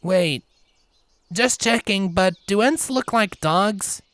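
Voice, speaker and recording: A man voicing a small young male dragon, excitable, anxious and innocent, with a hesitant, questioning way of talking in a storybook reading. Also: high-pitched, slow pace, dry recording, pitched above the performer's own voice, full character voice. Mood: unsure.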